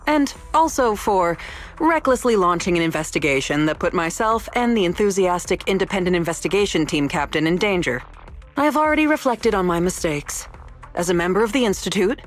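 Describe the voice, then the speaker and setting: A woman voicing a young female researcher in a video game, speaking formally and precisely with dry, quiet evasiveness. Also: on the low side, close to an everyday voice. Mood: composed, wry. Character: young female researcher, composed, wry, quietly rule-bending. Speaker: researcher character. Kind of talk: scripted video game dialogue.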